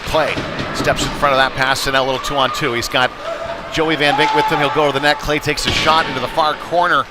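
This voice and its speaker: Male hockey play-by-play man over rink noise. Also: fairly quick. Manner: running description with excited shouts at big plays. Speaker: play-by-play commentator. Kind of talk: live youth hockey commentary. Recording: noisy arena with rumble and thuds.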